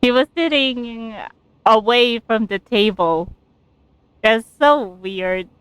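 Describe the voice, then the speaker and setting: A woman's voice: a little high, slow pace, a bit bright, clean tone, no echo, poor audio. A woman streaming a game, giving casual, amused and giggly commentary. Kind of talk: casual live gameplay commentary, reading some dialogue aloud.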